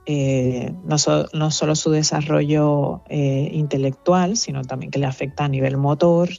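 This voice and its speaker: A middle-aged woman with a Venezuelan accent, speaking thoughtfully as an interview guest, pausing with fillers as she reflects. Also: sits low, fairly even intonation, creaky, mellow, no room sound, lo-fi sound, faint music behind. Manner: reflective, earnest, with fillers and restarts. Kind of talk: conversational interview.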